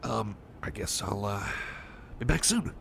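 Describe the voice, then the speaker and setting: A man's voice: lowish voice, big pitch swings, a little slow, gravelly, a bit bright, a little hiss or hum, exaggerated voice. A man voicing a shy, eager cyborg friend in an ASMR roleplay, hesitant and stammering, repeating himself and seeking reassurance. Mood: shy, reassuring. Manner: hesitant, stammering, repeats phrases, checks on listener. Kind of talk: ASMR roleplay, personal attention.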